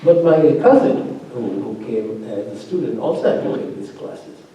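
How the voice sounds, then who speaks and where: Man, faculty opponent, discursive academic talk full of fillers and restarts; dark, mellow tone; lively pitch; heard from far off in a very echoey hall. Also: moderate pace, lo-fi sound. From immigrant living in Norway. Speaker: faculty opponent at a thesis defense. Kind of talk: spoken academic commentary. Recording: distant, roomy lecture-hall sound.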